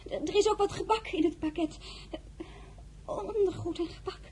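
A woman's voice: very high voice, moderate pace, a little mellow, a little hiss or hum. A woman playing a timid pleading girl. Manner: timid, stammering and tearful. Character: shy, earnest young woman pleading. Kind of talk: radio play acting.

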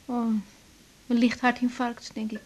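A woman's voice: on the high side, flat delivery, measured pace, darkish tone. An actress playing a young woman doctor in a radio drama, firm, practical and reassuring.

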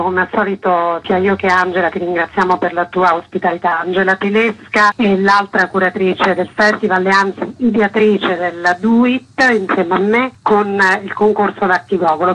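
A woman's voice: medium pitch, fairly bright tone, slight background noise, poor audio. A woman interviewed on the radio as a festival curator, explaining her programme in detail.

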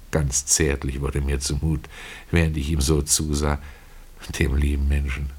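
A man narrating a literary radio drama in the first person, expressive and deliberate, with telling pauses. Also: very deep voice, slightly rough.

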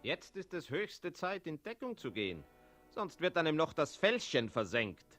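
An actor playing a sly, wry cat who narrates in an unhurried way in a 1960s radio play. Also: male voice, on the high side, sing-song, fairly bright tone, soft background music. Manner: wry, unhurried storytelling with meows. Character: sly, wry male cat commenting on the townsfolk.

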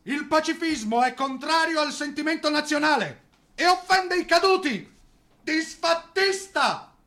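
Imperious nationalist censor: high, strident, indignant, drawn-out theatrical delivery. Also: male voice, lively intonation, bright, forceful tone, full character voice. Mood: outraged, commanding. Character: shrill, indignant fascist-minded patriotic censor. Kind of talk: exaggerated theatrical acting.

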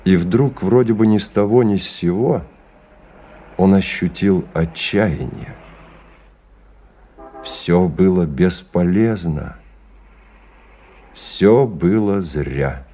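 A man's voice: sits low, slow, pauses often, warm tone. A man narrating a radio drama in a scripted, storytelling style. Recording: 1990 radio recording with background noise.

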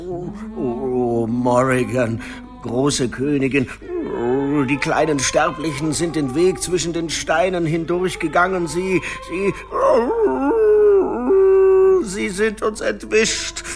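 A man's voice: on the high side, slow. An actor playing a cowering, fawning hound in a radio play, whimpering and stammering as it serves a queen. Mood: fearful, grovelling. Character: cowering, grovelling hound serving a wicked queen. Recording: music behind the voice.

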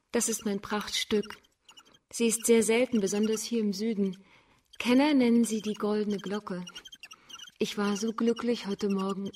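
Actress as frank, gentle young woman. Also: medium pitch, a little hiss or hum, lightly acted. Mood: tender, delighted. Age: young adult. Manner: candid, composed, earnest. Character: frank, gentle young blind woman who keeps singing crickets. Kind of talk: German radio drama acting.

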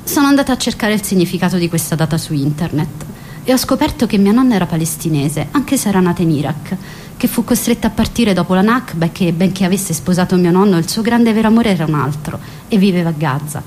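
A woman's voice: fairly low pitch, animated, fast, a little mellow, slight background noise, lightly acted. A woman playing a wistful, passionate young woman in a scripted radio drama, speaking intimately and reflectively. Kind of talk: scripted radio drama monologue and letter reading.

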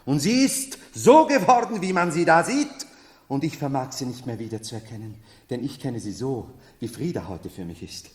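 An actor in a 1970s German radio drama playing a bitter, mocking nobleman who feigns madness as a medieval emperor, declaiming theatrically between hush and outcry. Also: mid-range voice, expressive, moderate pace, lightly acted. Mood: feverish, yearning. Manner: declamatory, swinging from hushed to exclaiming. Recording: reverberant hall.

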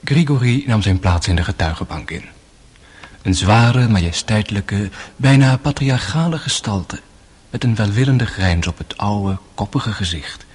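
A man narrating a scripted radio drama in a measured, literary storytelling manner. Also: fairly low pitch, lively intonation, breathy edge.

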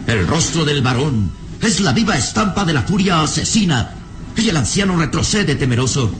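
An actor narrating a gothic radio adventure in a solemn, suspenseful, dramatic scripted style. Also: male voice, a little high, very animated, normal speed, music in the background.

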